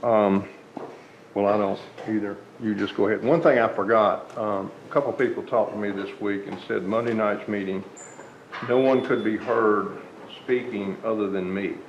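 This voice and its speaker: A loud-voiced man runs the meeting and moves it along briskly. His lowish voice has a gravelly edge, picked up on a dull mic. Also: fairly even intonation, roomy sound, slight background noise. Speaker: meeting chair.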